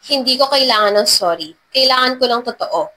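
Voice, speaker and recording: A woman's voice: a little high, lively intonation, fairly quick, pushed and bright. Woman narrator as a guarded, blunt female bodyguard. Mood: blunt, challenging. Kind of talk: dramatized romance story narration.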